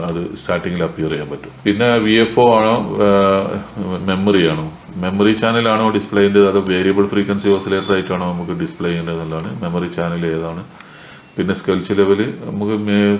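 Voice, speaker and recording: A man with a Malayali accent gives an unscripted technical tutorial in an instructional way, mixing in English technical terms. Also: sits low. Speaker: tech tutorial presenter. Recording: echoey room with faint noise.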